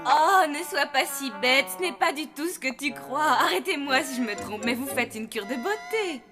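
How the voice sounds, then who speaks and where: A woman's voice: high voice, lively intonation, normal speed, music in the background. A woman voicing a gushing, chatty, vain lady hotel guest in a cartoon. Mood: chatty, presumptuous. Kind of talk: animated cartoon dialogue in French dub.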